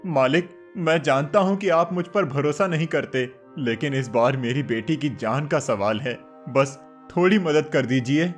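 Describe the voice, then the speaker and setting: A man's voice: a little high, a little slow, few pauses, faint music behind, natural voice. A man voicing a poor, desperate and devoted village cobbler, humble and earnest, in a cartoon story. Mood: pleading.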